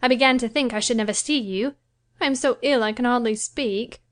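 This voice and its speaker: High-voiced young wife, self-pitying and self-important, forever complaining, period novel dramatisation female actor performing. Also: a little fast, lightly acted. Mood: querulous, self-pitying. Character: self-pitying, querulous young married gentlewoman, fancying herself ill. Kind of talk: dramatised classic novel reading.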